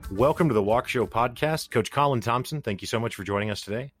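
A man's voice: medium pitch, fast, music in the background. A man hosting an interview podcast, speaking in a conversational presenter style. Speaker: podcast host. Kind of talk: conversational podcast interview with scripted-sounding introduction.

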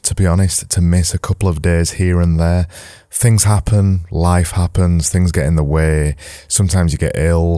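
A man with a hoarse, sore-throated voice, hosting a solo podcast in a candid, rambling way. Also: deep voice, dark tone, dry recording, studio quality. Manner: rambling, candid, self-deprecating. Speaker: podcast host. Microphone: boom-mounted studio mic.

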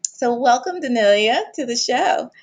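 A woman's voice: on the high side, expressive, measured pace. Upbeat woman podcast host. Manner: upbeat and enthusiastic. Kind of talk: scripted intro then casual interview.

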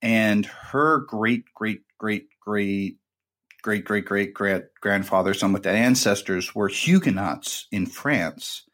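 A man's voice: on the low side, takes it slowly, fairly bright tone. A man hosting a podcast, telling a long family story in a rambling, casual way with many fillers. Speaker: podcast host. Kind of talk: casual conversation.

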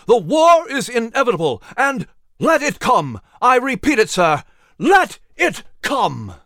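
A man voicing an impassioned patriot orator who rouses a hall, rising to shouts. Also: a little high, expressive, takes it slowly, clean tone, studio quality. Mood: defiant.

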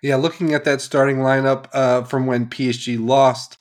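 A man's voice: medium pitch, flat delivery, normal speed. Nasal man hosting a sports podcast. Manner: upbeat, chatty, laughs easily. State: nasal, recovering from a cold. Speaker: podcast host. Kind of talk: casual sports podcast chat.

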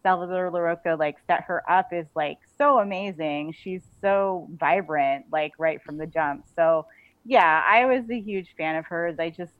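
A woman with a fairly low voice talks as an interview guest in a casual, enthusiastic way. Her audio is narrow and phone-like, with very little room sound around it. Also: measured pace, dry recording. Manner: enthusiastic, informal.

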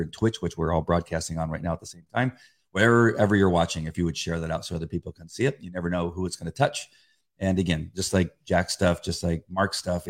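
A man co-hosting a faith podcast live-stream in a loose, rambling chat, often stopping and restarting, on a desk microphone. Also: sits low. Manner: rambling, stops and restarts, chuckles. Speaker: podcast co-host.

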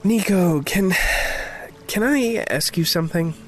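An actor playing an evasive, dramatic man in distress in an audio drama, with stilted formal phrasing that turns halting. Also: high-pitched, soft background music. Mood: hesitant, troubled. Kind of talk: fiction podcast acting, naturalistic dialogue.

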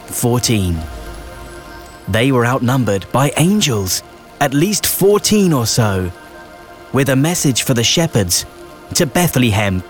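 A man narrating a rhyming children's bedtime story in a measured storytelling delivery with deliberate pauses. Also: mid-range voice, big pitch swings. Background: festive music and sound effects.

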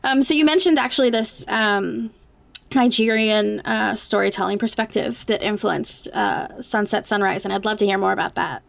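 Creaky-voiced woman hosting an interview. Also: mid-range voice, moderate pace, dry recording. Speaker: podcast host, interviewer. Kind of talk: conversational interview questions.

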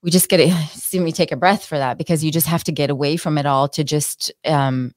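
Very low-pitched female wellness expert. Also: steady tone, fairly quick, no echo, clean studio sound. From born in the United States, partly raised in Israel. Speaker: health and wellness expert guest. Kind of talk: casual interview chat.

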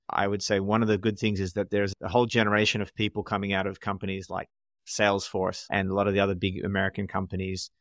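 A man's voice: lowish voice, a bit bright, no echo, studio quality. A man co-hosting a casual panel discussion, speaking candidly and reflectively. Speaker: podcast co-host.

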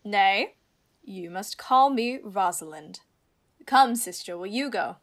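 A woman acting in a Shakespearean radio play as a witty young heroine disguised as a teasing youth, with a deliberate delivery. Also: mid-range voice, a bit bright. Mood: coaxing.

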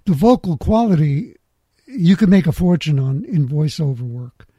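A middle-aged man hosting a podcast, reading a measured intro and chatting easily, laughing often. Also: fairly high pitch, dark tone, husky, no echo, clean studio sound. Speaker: podcast host. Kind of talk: scripted intro then casual interview.

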